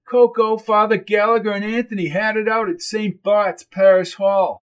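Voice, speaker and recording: A man's voice: sits high, voice pushed up, natural voice. A man voicing a bossy, impatient tough guy who talks bluntly and urgently in a crime story.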